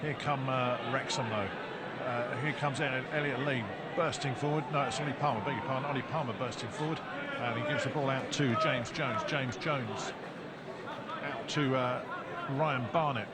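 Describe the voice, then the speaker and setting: A man's voice: medium pitch, normal speed. A man giving live play-by-play football commentary, often correcting himself as the action unfolds. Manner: excitable play-by-play with frequent self-corrections. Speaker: match commentator. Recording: loud crowd noise behind commentary. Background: stadium crowd.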